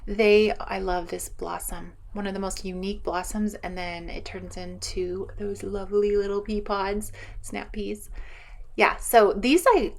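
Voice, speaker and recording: A woman's voice: mid-range voice, steady tone, measured pace, vocal fry. Chatty woman giving an enthusiastic garden tour. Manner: enthusiastic, rambling, thinking aloud. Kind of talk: casual chatty walk-around narration. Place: outdoors in a home garden.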